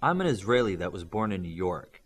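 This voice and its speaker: A defiant, cutting teenage girl who answers back in clipped lines, as a male audiobook reader puts her on. She speaks a touch higher and louder than the reader's narration, with lively rises and falls. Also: fairly quick, slightly rough, full character voice. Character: teen girl, sharp-tongued, proud Israeli.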